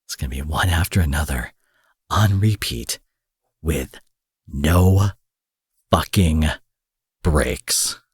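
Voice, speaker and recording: A man's voice: extremely low pitch, animated, slow pace, long pauses, slightly rough, no echo, clean studio sound, full character voice. A man playing an angry, menacing supervillain in an audio drama, profane, sarcastic and theatrical, taunting with mock affection. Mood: furious, threatening. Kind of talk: roleplay audio drama monologue.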